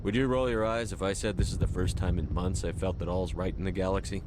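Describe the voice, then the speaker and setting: A man playing a resolute, dry-witted military commander in a dramatic video game, with a measured delivery. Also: low voice, fairly even intonation, background noise, close to an everyday voice. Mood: dry, intimate. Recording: loud music over the voice.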